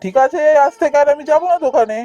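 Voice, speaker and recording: A woman's voice: high voice, rapid-fire, mellow, muffled recording, natural voice. A woman voicing a principled, kind-hearted younger brother in a fairy-tale cartoon, earnest and firm. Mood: hurt, defiant.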